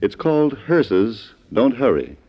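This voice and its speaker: A man's voice: medium pitch, very animated, slow pace. A man announcing and hosting in scripted 1940s radio style. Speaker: announcer and host in librarian persona. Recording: muffled, noisy vintage radio recording.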